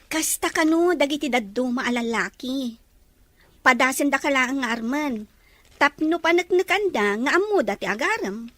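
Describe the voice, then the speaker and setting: A woman's voice: sits high, animated, normal speed, a bit bright, dry recording. A woman voicing a chatty, teasing and hesitant teenage girl in an audio drama, with an Ilocano Filipino accent. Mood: concerned, probing.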